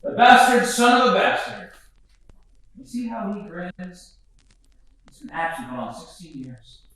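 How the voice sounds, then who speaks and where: A man's voice: fairly high pitch, sing-song, unhurried. An actor playing a restless young adult son, wry and jittery, who stumbles over his words. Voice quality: wry, jittery, stammering restarts. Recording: echoey roomy sound from far off.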